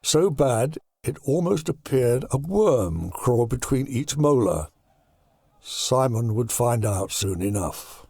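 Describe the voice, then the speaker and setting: A man narrating a horror audiobook in a deliberate, dramatic storytelling style. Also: mid-range voice, big pitch swings, gravelly, a little mellow, dry recording.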